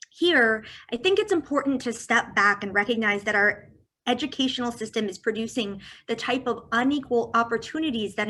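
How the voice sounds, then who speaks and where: A woman from California giving a prepared talk as guest speaker, walking through figures on slides in a measured way. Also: fairly high pitch, pushed and bright.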